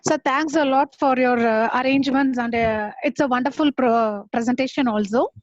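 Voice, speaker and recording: A woman's voice: high voice, moderate pace, no room sound, highs cut off. A woman calling in to a webinar to ask a question, hesitant, with many fillers. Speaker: webinar participant asking a question. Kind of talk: question on a call. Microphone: video call.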